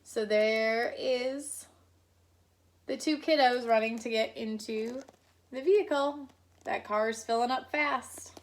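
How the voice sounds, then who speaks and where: A woman's voice: a little high, slow. A woman teacher reading a picture book aloud to children, adding chatty asides to the kids.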